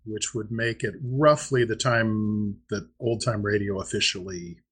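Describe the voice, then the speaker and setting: A man's voice: on the low side, fairly even intonation, measured pace, a bit bright, breathy edge. A man in his fifties, chatting casually as the co-host of a podcast. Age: 50s. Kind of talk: casual chat about old-time radio.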